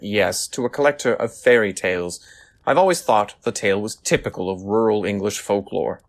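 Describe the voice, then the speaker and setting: An actor playing a brisk, sceptical Victorian detective in an audio drama, speaking in a formal, inquisitive way. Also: male voice, fairly low pitch, gravelly. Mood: probing, sceptical.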